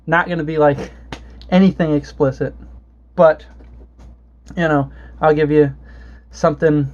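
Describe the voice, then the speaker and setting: A young man from Florida talks casually at home with a slightly raised pitch, swearing freely and restarting his sentences. He fills his talk with uh and you know as he rambles. The recording sounds muffled and lo-fi. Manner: rambling, profane, many fillers and restarts. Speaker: vlogger. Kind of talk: casual chat.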